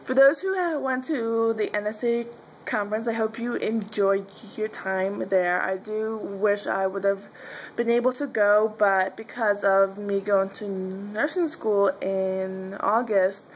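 Slow-talking young woman who stutters. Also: mid-range voice, fairly even intonation, a little hiss or hum, rough recording. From Pennsylvania, US. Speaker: vlogger. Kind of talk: casual video diary. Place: dim bedroom, indoors. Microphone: webcam or phone held close.

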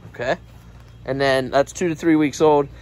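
A man's voice: mid-range voice, steady tone, normal speed, a little mellow. A man casually asking questions and reading details aloud, recorded on a handheld camera. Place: greenhouse. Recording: faint background noise, handheld camera video.

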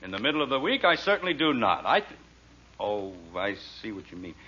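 A man's voice: medium pitch, sing-song, brisk, bright, forceful tone, husky, full character voice. An actor playing a grumbling, blustery middle-aged family father in a 1940s radio comedy. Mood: flustered. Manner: blustery, emphatic. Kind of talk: 1940s radio sitcom acting. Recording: dull, noisy 1940s radio recording.

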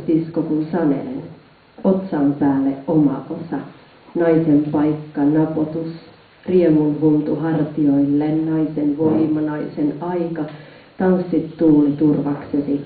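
Guy reciting old folk poetry, kinda high voice, chanting in short lines, super echoey. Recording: very echoey, distant, dull phone-like sound.

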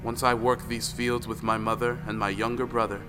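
A man's voice: medium pitch, little pitch movement, a little fast, lightly acted. A man playing a sorrowful, hard-working farmer, subdued and grave, in a folktale audioplay. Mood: weary, plain. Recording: long reverb, clear music underneath.

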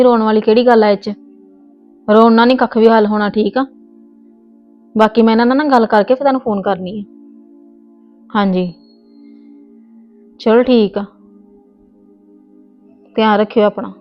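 A woman's voice: a little high, lo-fi sound, lightly acted. A woman playing a stubborn young wife in a family skit, scolding and insistent. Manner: scolding, insistent, voice rising in argument. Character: young married woman, stubborn, quick to argue. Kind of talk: scripted family comedy skit.

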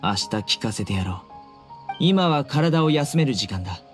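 A man's voice: mid-range voice, animated, moderate pace, soft background music, natural voice. A man voicing a stoic young desert warrior in an anime game, grave and declarative. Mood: gentle.

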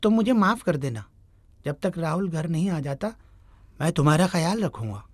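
A woman voicing an earnest young man, a caring son, in an animated story. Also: sits low, normal speed, no room sound. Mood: earnest, reassuring. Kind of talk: Hindi animated moral story acting.

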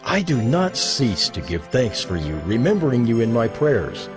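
A man reading scripture as a dramatized narration, solemn and measured, with emphatic phrasing. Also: medium pitch, sing-song. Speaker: Bible narrator. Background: music under the voice.

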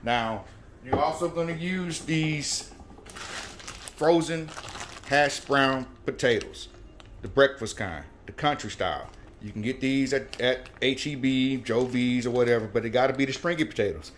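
A man hosting a home cooking show, explaining each step in a folksy, relaxed way. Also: medium pitch, unhurried, a little hiss or hum. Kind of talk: casual cooking demonstration. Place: home kitchen at the stove. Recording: echoey kitchen room. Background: oil frying and sizzling in a skillet.

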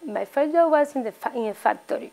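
Woman, 40s, halting answers, lots of restarts, indoor interview. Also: high voice, expressive, creaky, a little mellow, faint music behind. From Dominican Republic. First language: Spanish. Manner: halting, with restarts and repeated words.

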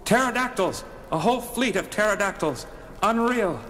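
An actor playing an excitable, principled male starship crewman in a 1970s audio drama. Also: sits high, normal speed, slight background noise. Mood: amazed. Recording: long cavernous reverb.

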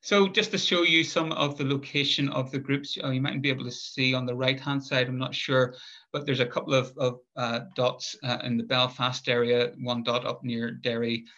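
Middle-aged Northern Irish lecturer on a video call; even, flat, hesitant delivery, dull sound. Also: male voice, medium pitch. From Northern Ireland. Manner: measured, thoughtful, with frequent hesitations and restarts. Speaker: conference speaker, lecturer. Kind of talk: academic presentation. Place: home room, indoors. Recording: muffled video call audio.

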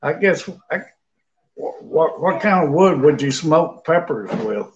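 A man's voice: a little high, expressive, measured pace. An older man, chatting easygoing on a home cooking livestream, recorded on a webcam at a kitchen table. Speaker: livestream co-host. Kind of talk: casual livestream chat. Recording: dull, lo-fi webcam audio.

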